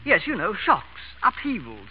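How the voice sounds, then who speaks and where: An actor playing a befuddled, querulous upper-class English lord, hesitant and bumbling, in a 1930s radio drama. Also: male voice, high voice, huge pitch swings, normal speed. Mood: sheepish. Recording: dull, noisy vintage radio recording.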